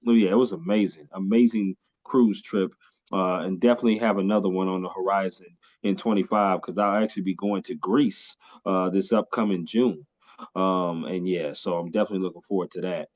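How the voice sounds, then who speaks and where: A man with a fairly low voice hosts a casual podcast interview. He talks with eager enthusiasm, full of "uh"s and repeated phrases. He sounds upbeat and keeps the talk flowing with his questions. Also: fairly even intonation, a little fast, a little mellow. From California. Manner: enthusiastic, lots of fillers and repeats. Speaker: podcast host.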